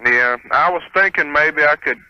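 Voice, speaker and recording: A man's voice: on the high side, normal speed. A man, calling a radio show and talking casually in a hesitant, sheepish way. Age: 20s. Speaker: caller. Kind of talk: casual phone conversation. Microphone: telephone. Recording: dull lo-fi phone call on radio.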